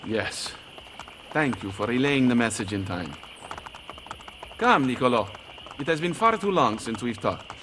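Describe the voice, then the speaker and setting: Male actor as courteous rogue, composed, medium pitch, game cutscene, light ambient noise. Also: expressive, a little slow, close to an everyday voice. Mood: grateful. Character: La Volpe, composed, courteous Italian thief ally. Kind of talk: dramatic video game acting.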